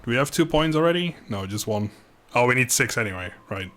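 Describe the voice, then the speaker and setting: A man's voice: mid-range voice, lively intonation, a little fast, fairly bright tone. A man doing casual live game commentary, chatting informally with viewers, joking and swearing mildly. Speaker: game streamer.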